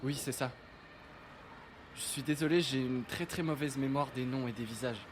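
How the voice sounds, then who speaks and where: A man's voice: mid-range voice, normal speed, noisy background, lightly acted. A man playing a shy teenage boy. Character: shy teenage boy, new in town, forgetful. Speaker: lead character. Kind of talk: fan audio drama acting. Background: music.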